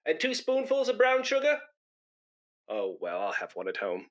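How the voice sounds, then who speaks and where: A pompous middle-aged man from a radio comedy, played by an actor. His voice swings widely up and down as he holds forth with great self-importance, quick to take offence. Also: high-pitched, wildly swooping pitch, a bit bright, slight background noise, exaggerated voice. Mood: plaintive. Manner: pompous, indignant, sententious. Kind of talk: British radio comedy acting.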